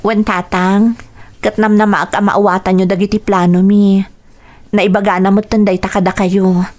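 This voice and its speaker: A devoted young Ilocano daughter acted by a woman in a radio drama. She speaks at a middling pitch, hopeful and dutiful toward her parents. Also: flat delivery, moderate pace, a little mellow, natural voice. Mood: earnest, hopeful.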